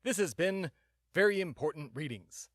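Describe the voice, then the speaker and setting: A pompous male patriot played by a man holds forth as a comedy podcast host. His voice sits a little high and swings widely in pitch. He delivers everything with mock-serious grandeur, as if he were enormously important. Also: expressive, moderate pace, fairly bright tone, no echo, studio quality. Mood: self-important. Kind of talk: mock-serious comedic monologue and dramatic reading.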